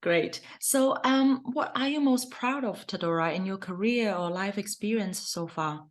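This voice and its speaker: A woman's voice: medium pitch, unhurried. A woman hosting an interview, introducing her guest and asking questions, with some fillers and slightly unusual phrasing. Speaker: host, consulting founder. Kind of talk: hosted interview.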